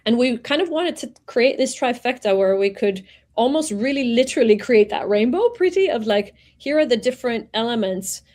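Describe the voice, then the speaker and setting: A woman in her forties from Venezuela, talking as an interview guest in a reflective way, pausing and searching for words as she explains. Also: fairly high pitch, lively intonation, darkish tone. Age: 40s. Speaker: guest, executive coach. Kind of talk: conversational interview.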